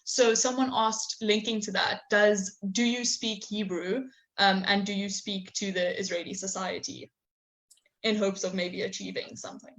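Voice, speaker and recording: A young adult woman moderates an online discussion over a video call. She reads out audience questions hesitantly, filling pauses with ums and restarting her phrases. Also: mid-range voice, steady tone, a bit bright, low-quality recording. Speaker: host and moderator. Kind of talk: webinar interview.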